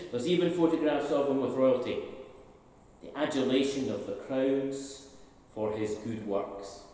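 A man's voice: mid-range voice, a little mellow. An older man with a Scottish accent, a minister preaching a sermon from a pulpit and asking the congregation rhetorical questions. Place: large church interior, at a pulpit. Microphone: stand mic at pulpit, camera from a distance. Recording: echoey church hall from far off.

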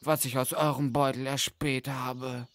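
An actor playing a poor old tramp in an audio fairy tale, courteous and pleading. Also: male voice, medium pitch, little pitch movement, unhurried, fairly bright tone, lightly acted. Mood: humble pleading. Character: old, poor, humble wandering tramp begging for bread.

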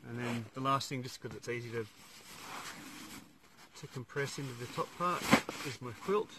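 A man in his thirties shows off his lightweight hiking setup in the bush, speaking in an easygoing, practical way with frequent pauses. His voice sits at an ordinary male pitch. It comes from a camera standing a little way off, with plenty of outdoor noise around it. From Australia. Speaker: hiker showing his gear. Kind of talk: casual gear walkthrough. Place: outdoors in eucalyptus bushland campsite. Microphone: camera on tripod a few metres away.

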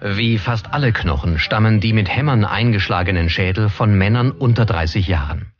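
A man's voice: fairly low pitch, normal speed, dull audio. A man reading scripted documentary narration. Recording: music under the voice. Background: background music.

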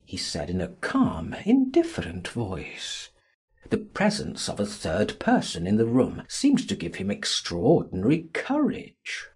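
A man narrating a classic novel as a dramatic audiobook, theatrical and deliberate in his delivery. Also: on the low side, huge pitch swings, darkish tone, husky.

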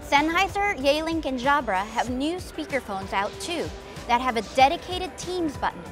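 A woman's voice: sits high, animated, normal speed, over music. A woman giving a cheerful, scripted product tour at a trade show booth. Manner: cheerful, promotional. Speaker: presenter in a product preview video.

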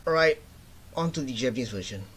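A man's voice: mid-range voice, lively intonation, quick pace, a bit bright, slight background noise. A young man hosting a gaming reaction video, commenting casually into a desk mic in a small room at home. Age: young adult. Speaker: gaming channel host reacting to a trailer. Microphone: desk microphone on a webcam setup.

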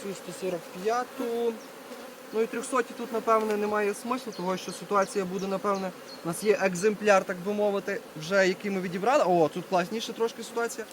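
Guy with a pretty high voice showing stuff outside at his apiary, chatty, bees buzzing faintly behind him. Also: steady tone, brisk. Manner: talks to viewers while working, repeating short phrases. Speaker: beekeeper presenting a video. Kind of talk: casual hands-on demonstration. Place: outdoors at an apiary. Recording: outdoor recording with buzzing bee noise. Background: buzzing bees and insects.

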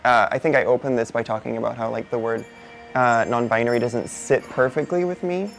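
Non-binary interviewee, 20s, male-range voice with a gravelly edge, reflective and unhurried, faint music underneath. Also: mid-range voice. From Barbados. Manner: thoughtful, unhurried, many soft fillers. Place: indoor studio before a painted backdrop.

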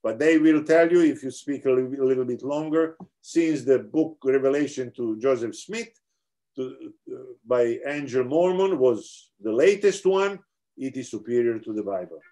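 A man's voice: medium pitch, moderate pace, dark tone. A middle-aged man with a Serbian accent, giving a theology lecture over a video call in an earnest, explanatory way with frequent restarts. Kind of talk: online seminar lecture.

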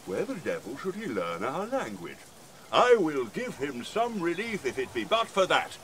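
An actor playing a drunken, blustering ship's butler in a Shakespearean comic audio play, boastful and declamatory. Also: male voice, a little high, huge pitch swings, normal speed, a bit bright, slight background noise. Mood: tipsy pondering.

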